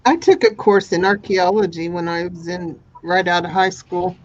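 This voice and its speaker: A woman's voice: on the low side, normal speed, highs cut off. A woman in her sixties chatting casually with friends in a teasing way. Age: 60s. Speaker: painting group member. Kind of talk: casual chat.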